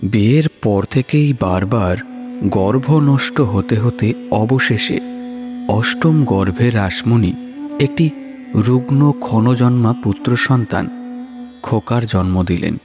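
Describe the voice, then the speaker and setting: A man narrating a scripted horror story in a dramatic, measured, suspenseful manner. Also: medium pitch. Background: music.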